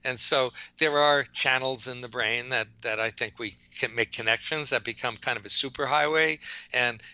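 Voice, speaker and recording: Bright, forceful New Yorker, older man. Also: mid-range voice, clear, resonant tone, dry recording, lo-fi sound. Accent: New York. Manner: rambling, halting, self-correcting. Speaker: OCD psychologist, interview guest. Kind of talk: reflective interview answers.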